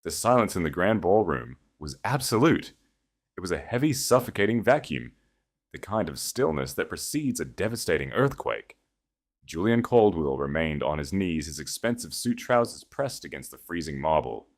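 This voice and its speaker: Low-voiced man narrating dramatic fiction. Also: big pitch swings, moderate pace. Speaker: story narrator. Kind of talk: dramatic scripted audiobook narration.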